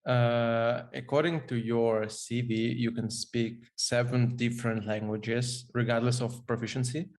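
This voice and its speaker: A man hosting a podcast, asking questions in a measured way and hunting for words with fillers. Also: mid-range voice, flat delivery, a little mellow. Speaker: podcast host. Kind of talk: interview questions.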